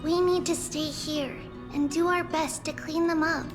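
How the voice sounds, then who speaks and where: A woman's voice: sits high, fairly even intonation, unhurried. A woman voicing an earnest, composed young girl in an anime game. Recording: loud background music.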